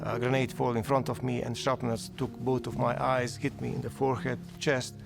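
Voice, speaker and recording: A man in his fifties, originally from Bosnia, speaking English with a Bosnian accent in an interview, halting and repeating words as he searches for phrasing. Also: mid-range voice, flat delivery, gravelly. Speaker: award recipient. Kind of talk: recorded interview testimonial. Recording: music bed underneath. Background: music.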